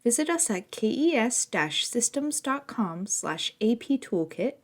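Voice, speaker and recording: A woman's voice: on the high side, big pitch swings, a little slow. A woman reads scripted promotional narration as an announcer.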